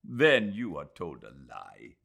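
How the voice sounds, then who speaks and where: A man's voice: deep voice, huge pitch swings, slow, gravelly, fairly bright tone, full character voice. A man voicing a courteous, proud Indian doctor in an audiobook, speaking in a formal and emphatic manner. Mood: indignant. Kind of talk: audiobook character voice.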